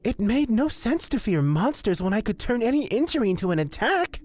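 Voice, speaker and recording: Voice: medium pitch, big pitch swings, brisk, a little mellow. A performer playing a nervous, courteous young cleric and blood witch, talking in a flustered, polite way in a fantasy audio drama.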